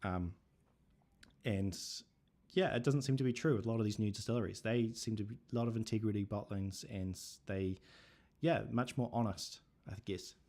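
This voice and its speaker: A man with a New Zealand accent hosting a casual livestream chat, relaxed and thinking aloud with plenty of fillers. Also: lowish voice, normal speed. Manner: relaxed, thinking aloud with many fillers, reading viewer comments. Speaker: livestream host.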